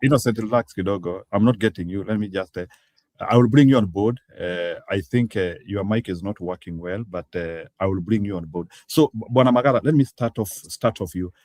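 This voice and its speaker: Deep-voiced Kenyan man hosting a call. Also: a little fast, a little mellow, no echo. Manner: hesitant, many fillers and restarts. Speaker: online show host. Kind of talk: casual talk show interviewing.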